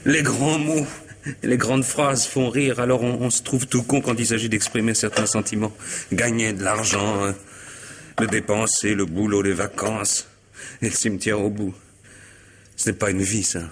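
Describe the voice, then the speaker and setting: An actor playing an anguished, disillusioned man who feels hunted, halting and brooding, in a French radio mystery drama. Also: lowish voice, flat delivery, background noise, natural voice. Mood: bitter despair. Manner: halting, repeats words, brooding.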